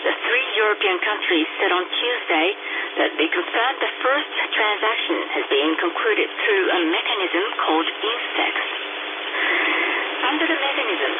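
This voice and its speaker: A woman newsreader delivers a scripted world bulletin at a medium pitch. The shortwave signal is thin and narrow, with very loud noise throughout. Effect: shortwave radio. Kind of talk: scripted international news bulletin. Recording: very noisy, narrow-band shortwave radio reception.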